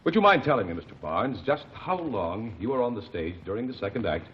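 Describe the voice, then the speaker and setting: An actor playing a calm, methodical man who cross-examines witnesses in court, speaking formally and precisely, in 1940s radio acting. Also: fairly low pitch. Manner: measured, formal, precise diction. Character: calm, methodical male courtroom lawyer-detective. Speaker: amicus curiae lead. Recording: dull old radio broadcast, faint hiss.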